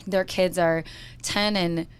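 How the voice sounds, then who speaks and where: A woman co-hosting a casual show, telling stories in a chatty, bubbly way, her voice a little raspy from yelling. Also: lowish voice, sing-song, extremely slow. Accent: American. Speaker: co-host. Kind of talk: casual chat.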